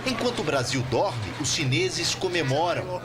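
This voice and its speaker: A man's voice: on the high side, expressive, normal speed, loud music behind the voice. A man with a Brazilian accent narrating a scripted TV report as a foreign correspondent. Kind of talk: scripted news report with translated quotes.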